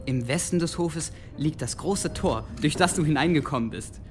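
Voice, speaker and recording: An actor playing an eager, earnest young monk who explains things carefully in a radio drama. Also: male voice, fairly high pitch, moderate pace, music in the background, close to an everyday voice. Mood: eager, explanatory.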